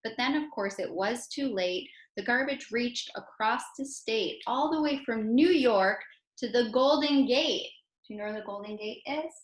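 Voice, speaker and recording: An American woman reading poems aloud to children in an expressive way, speaking directly to her young listeners. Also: fairly high pitch, normal speed. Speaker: children's poem reader and teacher. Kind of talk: animated read-aloud for children. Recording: roomy, echoey sound.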